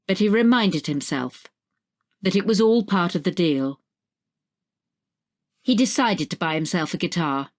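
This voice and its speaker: Female narrator, slightly low voice, reading scripted short fiction. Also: lively intonation, unhurried, long pauses. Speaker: story narrator.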